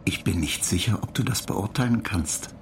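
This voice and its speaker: A man playing a computer data agent in a radio play, matter-of-fact, clinical and measured, calmly pedantic and dryly superior. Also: on the low side. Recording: clear noise bed behind the voice.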